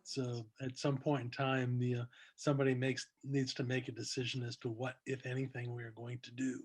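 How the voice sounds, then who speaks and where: Mid-pitched man in an online meeting. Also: monotone, brisk, slightly rough. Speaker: club member in a meeting. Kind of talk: informal meeting discussion. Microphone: video call.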